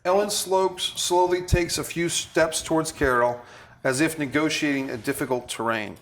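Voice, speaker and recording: A man leading a table read as director, giving casual instructions and reading stage directions in a halting way. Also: on the high side, a bit bright.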